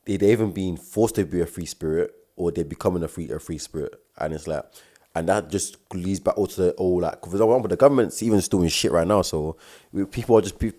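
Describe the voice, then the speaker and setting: Young South London man, very low and mellow voice, fast slangy co-host who stammers and repeats. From South London, England. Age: young adult. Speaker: podcast co-host. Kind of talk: casual chat.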